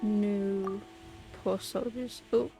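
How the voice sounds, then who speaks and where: A woman's voice: medium pitch, fairly even intonation, very slow, vocal fry, rough recording. A woman live-streaming a game with casual commentary, teasing and wry in manner. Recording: game music behind the voice.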